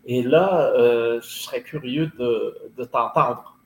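A man hosting a podcast, asking long questions in a halting way full of filler sounds. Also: medium pitch, a little mellow. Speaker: podcast host. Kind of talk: interview questions. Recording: roomy recording, faint noise.

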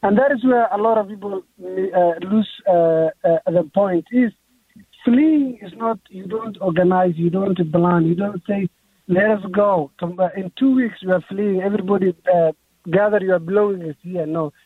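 A man's voice: high voice, moderate pace, husky, no room sound. A young man, an author guest speaking earnestly in a radio interview, often restarting his phrases. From Somalia. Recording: tinny phone line.

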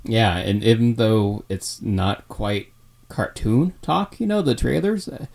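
A man's voice: lowish voice, takes it slowly, gravelly, darkish tone. A man in his thirties co-hosting a podcast, chatting casually and rambling with fillers. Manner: rambling, with many fillers and restarts. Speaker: podcast co-host.

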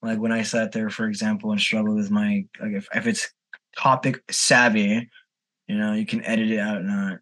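A man's voice: on the low side, flat delivery, normal speed, fairly bright tone. A man in his mid twenties speaking as a podcast guest in an informal, candid, conversational way. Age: mid 20s. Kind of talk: casual chat.

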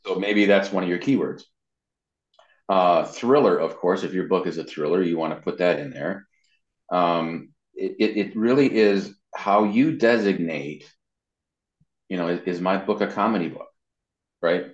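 Male interview guest, low voice, casual and explanatory, halting with repeated words, recorded in an echoey room. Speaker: interview guest, web marketing consultant. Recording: roomy echo, dull muffled sound.

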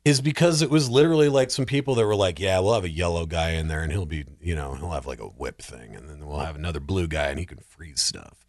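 A man's voice: low-pitched, fast, hardly any pauses. A man in a casual film discussion as a podcast panelist, jokey and rambling, acting out quoted lines as he goes.

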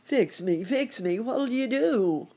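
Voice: medium pitch, big pitch swings, takes it slowly, dark tone, slightly rough, voice pushed up, heavily acted. A storyteller voicing a frantic, blustering storybook alligator who wails and repeats cries about a toothache. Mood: defiant. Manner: wailing, repetitive exclamations.

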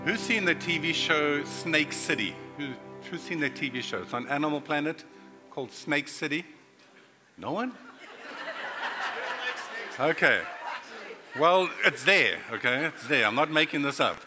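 A man's voice: a little high, expressive, unhurried, long pauses, in a large hall, music in the background. A middle-aged man from Africa, preaching a playful sermon that speaks directly to his congregation in a church sanctuary. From South Africa. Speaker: pastor.

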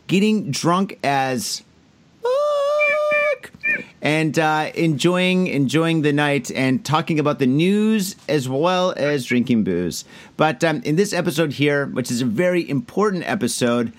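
A man hosting a casual podcast, talking loudly and loosely over a drink with plenty of fillers. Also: on the high side, very animated, takes it slowly, slight background noise. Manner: loud, laid-back, tipsy banter with fillers. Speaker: podcast host.

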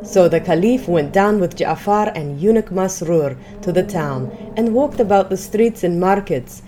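A woman's voice: fairly low pitch, animated, unhurried, warm tone, soft background music. A woman narrating an audio drama in a scripted, archaic storybook style.

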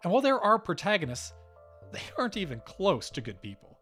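Animated male podcast host. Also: high voice, a little fast, faint music behind. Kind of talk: scripted podcast narration.

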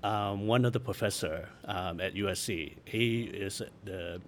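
Low-voiced man from Taiwan, noisy recording. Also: a little slow. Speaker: interview guest, architect. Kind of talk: casual reminiscing interview. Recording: noticeable background noise.

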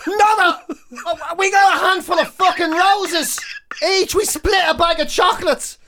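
A middle-aged Irish man with a notably high-pitched voice chats as a comedy podcast co-host. He is emphatic and exasperated, swearing freely as he rants. There is some noise behind him. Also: steady tone, unhurried, sharp tone. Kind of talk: bantering comic rant, casual chat.

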